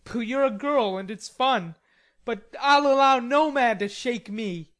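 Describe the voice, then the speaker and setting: Woman voicing an indignant, hot-headed teenage boy, pitched up. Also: unhurried, lightly acted. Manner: emphatic, protesting. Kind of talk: audiobook character reading.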